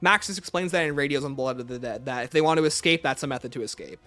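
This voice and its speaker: A man's voice: mid-range voice, fast, bright, forceful tone, soft background music. A man hosting a livestream, chatting casually as he takes viewer questions and answers them offhand. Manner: reads questions aloud, then answers offhand. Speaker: livestream host.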